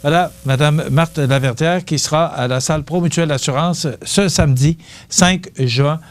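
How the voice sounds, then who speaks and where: A man hosting a local TV interview, talking in a halting way with many restarts. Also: a little high. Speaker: TV host.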